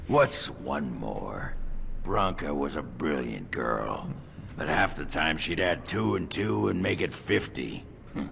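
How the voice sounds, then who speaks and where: An actor playing a crude, boastful, drunken dwarf warrior in a fantasy video game, gruff and gravelly. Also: male voice, rumbling low, animated, slow, a bit bright, slight background noise, full character voice. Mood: gruff, explaining. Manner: gruff, coarse. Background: game music.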